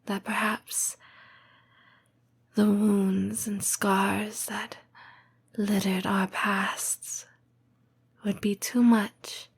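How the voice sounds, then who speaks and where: Woman doing a soft snake-girl girlfriend for comfort audio, super breathy whispers, really slow and soothing. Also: mid-range voice, little pitch movement, exaggerated voice. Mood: tender, reassuring. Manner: slow, measured, formal phrasing with deliberate pauses. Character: tender, patient lamia girlfriend, soothing and devoted. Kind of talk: intimate comfort roleplay audio, scripted monologue.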